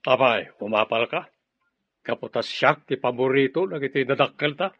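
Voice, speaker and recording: An insolent younger brother acted by a man in a radio drama, with a mid-pitched voice. He sounds spoiled, taunting and defiant. The audio is dry and slightly dull. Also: moderate pace, dry recording, natural voice. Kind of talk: Ilocano radio drama acting.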